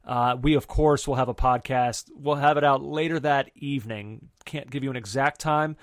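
A man's voice: mid-range voice, fairly even intonation, moderate pace, dry recording, studio quality. A man hosting a soccer podcast with enthusiasm, steering the talk through rambling asides. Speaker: podcast host.

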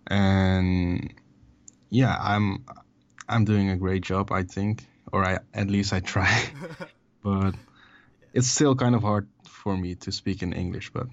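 A young man with a Dutch accent and a very low, deep voice answers in English, his second language. He talks in a relaxed, halting way, pausing on fillers. The call audio sounds dull and lo-fi. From Netherlands. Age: 20s. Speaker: musician guest. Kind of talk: casual interview over a call.

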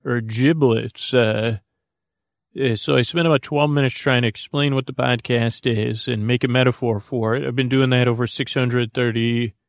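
A man hosting a sleep podcast, rambling in a halting, meandering, goofy way with many tangents and false starts. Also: mid-range voice, steady tone, vocal fry, dry recording, studio quality. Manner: goofy, whimsical. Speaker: podcast host.

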